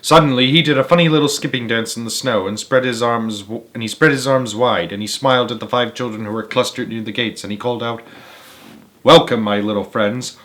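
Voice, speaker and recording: A man narrating a children's novel aloud as a storyteller, expressive and emphatic, sometimes halting and repeating a phrase. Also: mid-range voice.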